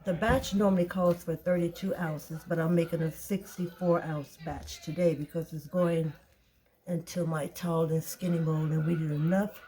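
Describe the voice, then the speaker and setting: A woman with a tired, raspy voice, talking casually through a soap-making tutorial and thinking aloud as she weighs ingredients. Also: sits low, warm tone, low-quality recording. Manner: slow, chatty, thinking aloud while weighing. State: tired voice, clearing throat. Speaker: soap maker.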